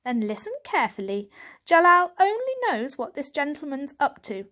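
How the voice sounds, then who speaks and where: Elderly tomcat grandfather played by a woman, wise, secretive, earnest storyteller, lifted pitch, storybook character. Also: very animated, moderate pace, pushed and bright, exaggerated voice. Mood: grave, urgent.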